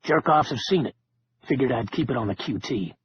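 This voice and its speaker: A man voicing a gruff, loyal male friend who talks bluntly and with slang. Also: mid-range voice, animated, fairly quick, muffled recording, slight background noise, natural voice.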